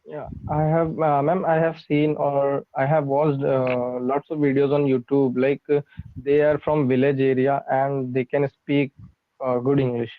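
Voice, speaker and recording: Shy guy student, flat voice, lots of uh, tinny call audio. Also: medium pitch, no echo. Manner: hesitant, halting with many "uh"s. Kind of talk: online English class.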